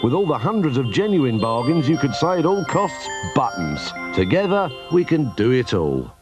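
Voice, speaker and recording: A man's voice: medium pitch, big pitch swings, normal speed. A man reading an advert voice-over in a playful, sing-song way. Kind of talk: scripted advert narration. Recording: loud music bed under voice.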